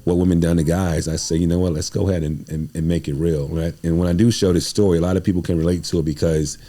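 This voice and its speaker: A man in his forties with a very deep voice and a dark, mellow tone. He tells stories as a podcast guest in a casual, informal way. His delivery is earnest and street-inflected. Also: fairly even intonation, fast talker. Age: 40s. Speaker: playwright and producer, podcast guest. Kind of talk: casual interview storytelling.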